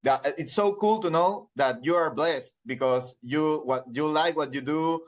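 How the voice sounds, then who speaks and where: A man's voice: fairly high pitch, moderate pace. A man in his thirties with a Peruvian Spanish accent, interviewing casually and hesitantly as he searches for words in his second language. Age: 30s. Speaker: radio interviewer.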